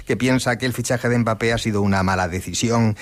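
A man's voice: mid-range voice, a little fast. Male correspondent, mid-range voice. Thoughtful, explanatory, conversational radio analysis with frequent self-rephrasing. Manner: reflective, explanatory, often rephrasing himself. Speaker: correspondent on French news and sport.

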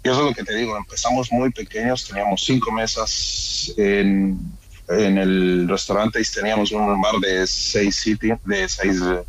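A man's voice: on the low side, moderate pace, low-quality recording. A man in his thirties with a Madrid Spanish accent, a restaurant owner talking casually in an interview, rambling with restarts. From born in Ecuador, raised in Madrid. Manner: relaxed, rambling with restarts and "o sea".

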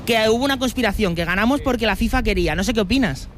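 A man's voice: high voice, rapid-fire, fairly bright tone. Male street reporter, Spain accent, friendly informal patter, light outdoor vehicle noise. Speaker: roving reporter. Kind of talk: live vox pop reporting. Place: outdoors at a petrol station.

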